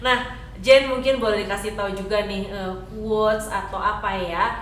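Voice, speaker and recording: A woman hosting a casual talk show interview, chatty and quick to chime in with affirmations. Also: medium pitch, fairly even intonation. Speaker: radio talk show host. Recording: echoey room with faint background noise.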